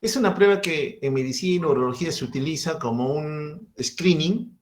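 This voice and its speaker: A man's voice: on the high side, normal speed. A man in his fifties with a Peruvian Spanish accent, giving a medical lecture and asking and answering his own questions. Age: 50s.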